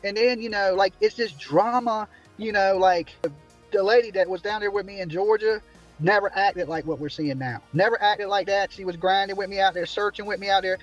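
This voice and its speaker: A man's voice: high-pitched, fairly even intonation, a little fast, faint music behind, low-quality recording. A man ranting indignantly on a livestream from a small room, repeatedly asking "right?" as he complains. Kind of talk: heated livestream commentary.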